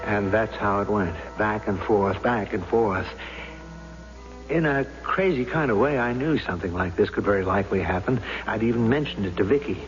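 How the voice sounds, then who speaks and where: A man's voice: fairly low pitch, normal speed, close to an everyday voice. An actor playing a doubtful, theatrical man who is a reluctant juror, in a brooding, questioning radio drama style. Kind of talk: 1970s radio drama acting. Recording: dull old radio recording with music.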